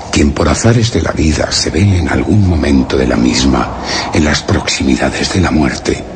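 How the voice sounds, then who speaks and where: An actor playing a solemn, anxious chronicler in a radio horror drama, grave and formally literary, his phrase endings turning breathy. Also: rumbling low, noisy background, heavily acted. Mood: solemn unease. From Spain. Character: solemn, anxious male chronicler of a mysterious encounter. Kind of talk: radio horror drama, first-person narration of a written account. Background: music.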